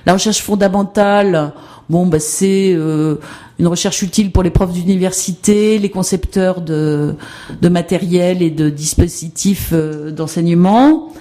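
A woman's voice: fairly low pitch, fairly even intonation, a little slow, dark tone. A woman giving an academic presentation on education research, hesitant and full of fillers and restarts.

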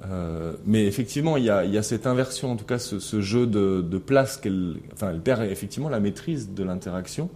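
A man's voice: lowish voice, expressive, brisk, hardly any pauses, dark tone, a little hiss or hum. A middle-aged man lecturing a class, thinking aloud with many fillers and restarts and putting questions to the students. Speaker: teacher. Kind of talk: classroom lecture analyzing a recorded interaction.

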